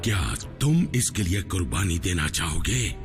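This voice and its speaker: A man voicing a menacing, commanding robot warlord in a cartoon dub, speaking slowly and imperiously. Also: low voice, animated, music in the background. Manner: slow, imperious, threatening.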